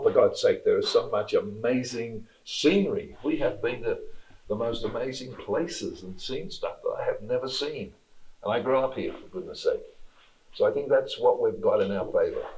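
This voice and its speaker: Australian man, very deep voice, candid, emphatic, slightly muffled recording. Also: a little mellow, a little hiss or hum. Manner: candid and emphatic, talks freely. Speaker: actor being interviewed. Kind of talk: casual interview.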